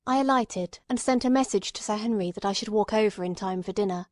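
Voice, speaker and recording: A woman reading classic detective fiction as an audiobook narrator, in a measured, formal way. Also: medium pitch, darkish tone, no echo, studio quality.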